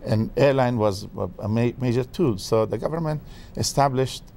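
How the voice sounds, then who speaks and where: A man from Afghanistan, a guest expert in a TV interview, speaking haltingly with many fillers and restarts. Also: mid-range voice, gravelly, warm tone, a little hiss or hum.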